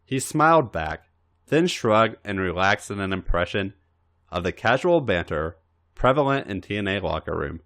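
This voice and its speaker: A man with a fairly low voice reads a story aloud like a dramatic audiobook. His pitch moves very widely from phrase to phrase, and his delivery is emphatic and theatrical. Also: big pitch swings, normal speed, fairly bright tone. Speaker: podcast host reading fan fiction aloud. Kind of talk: dramatic audiobook-style narration.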